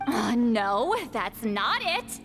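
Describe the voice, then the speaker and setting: Prickly, high-voiced ancient shaman woman. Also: very animated, very slow, a bit bright, full character voice. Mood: flustered, assuring. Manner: brusque, world-weary, scolding. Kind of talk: scripted video game dialogue. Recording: game music behind.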